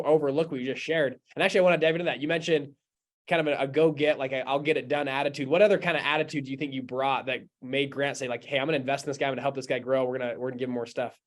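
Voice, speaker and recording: A man hosting an interview, talking in an eager, enthusiastic way, full of fillers like "like" and "you know". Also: mid-range voice. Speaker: podcast host, entrepreneur. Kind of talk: casual interview talk.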